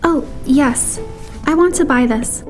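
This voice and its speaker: An actress playing a gentle, earnest young woman, a game heroine, with a sincere, softly hesitant manner. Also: sits high, slow pace. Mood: friendly, tentative. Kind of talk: soft game voice acting. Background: music.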